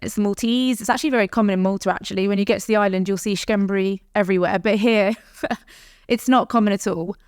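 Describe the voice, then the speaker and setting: A woman with a London accent talks in her thirties as a podcast guest, her voice smooth and clean with a dry, close sound. She tells stories in a chatty way and laughs easily. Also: medium pitch, fairly even intonation, fairly quick, dry recording. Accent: London English. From South London. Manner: warm, laughs often, chatty storytelling. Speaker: guest, startup founder. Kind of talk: podcast interview.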